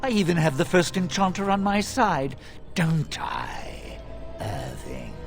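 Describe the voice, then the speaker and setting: Smug power-mad mage villain, slow, creaky, swooping delivery, theatrical, condescending, game cutscene. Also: male voice, on the high side, wildly swooping pitch, faint music behind, heavily acted. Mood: gloating, mocking. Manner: theatrical, condescending, drawn-out emphasis. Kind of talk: dramatic video game acting.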